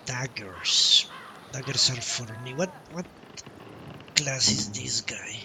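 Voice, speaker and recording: A man's voice: medium pitch, slow, vocal fry, background noise. A man doing casual live commentary on a game he plays, thinking aloud and muttering short questions to himself. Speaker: game streamer. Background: game music.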